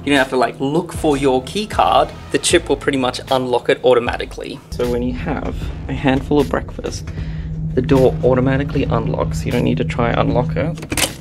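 A man's voice: medium pitch, fairly even intonation, fairly quick. A young man gives a cheerful walking tour, talking straight into a handheld camera. His tone is easygoing and chatty. Faint background music plays behind him. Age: young adult. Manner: upbeat, chatty tour-guide delivery. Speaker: travel vlogger. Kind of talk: casual travel vlog tour. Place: indoor areas of a cruise ship. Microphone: camera held at arm's length.

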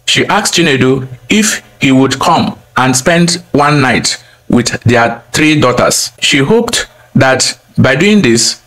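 A man telling a scripted folktale as a storyteller, in a simple, deliberate manner. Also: on the high side, a bit bright, low-quality recording.

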